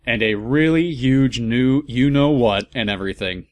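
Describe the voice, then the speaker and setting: A man's voice: mid-range voice, normal speed. A man reading a story aloud as a comedic host, breaking off for wry, amused asides.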